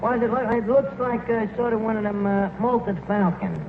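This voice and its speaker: Pompous New York tavern wiseguy, old tinny radio. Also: male voice, sits high, steady tone, normal speed. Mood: pompous, self-assured. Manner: mangles grammar and big words with pompous confidence. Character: malaprop tavern manager, pompous wiseguy schemer. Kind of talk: 1940s radio comedy acting. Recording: noisy, tinny 1940s radio broadcast, roomy.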